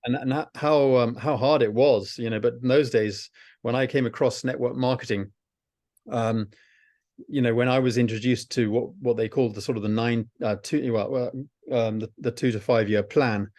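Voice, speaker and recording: A middle-aged man chatting on a video call, speaking at an ordinary pitch. He hesitates a lot, with frequent fillers and restarts. The sound is dry, with no room echo. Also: fairly even intonation, moderate pace, a little mellow. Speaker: host. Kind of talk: casual video call chat. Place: home, at night.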